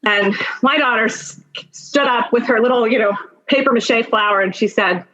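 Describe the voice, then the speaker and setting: Higher-voiced middle-aged woman, slightly muffled recording. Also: normal speed, sharp tone. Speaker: podcast guest, attorney and mentor. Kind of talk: personal storytelling and career advice in a conversation.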